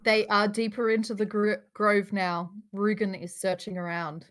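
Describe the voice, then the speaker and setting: A woman's voice: medium pitch, little pitch movement, normal speed, a bit bright. A woman reading stage directions as narrator at a live table read, in a matter-of-fact manner.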